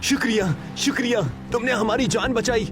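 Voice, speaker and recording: A man's voice: very high-pitched, normal speed, over music, voice pushed up. A man voicing an eager, exclamatory castaway who turns helpful food tout in a story. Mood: grateful.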